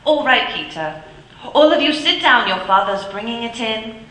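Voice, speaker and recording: A woman playing a sharp-tongued mother. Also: on the high side, expressive. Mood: bustling. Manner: brisk, scornful when roused. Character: Mrs Cratchit, sharp-tongued loving mother. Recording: roomy echoey recording.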